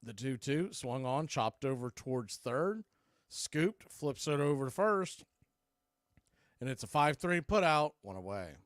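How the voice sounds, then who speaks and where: A man's voice: medium pitch, sing-song, measured pace, dry recording, clean studio sound. A man calling live baseball play-by-play for radio in clipped, punchy phrases. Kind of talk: live radio sports commentary.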